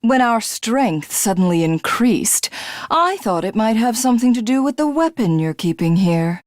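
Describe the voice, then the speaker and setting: A woman's voice: on the high side, big pitch swings, moderate pace, no echo, studio quality. A woman voicing a megalomaniac alien warrior from a video game, haughty and theatrical, who devours rivals to become a god. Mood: smug, menacing. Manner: haughty, grandiose, theatrical, self-satisfied. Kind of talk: video game character voice acting.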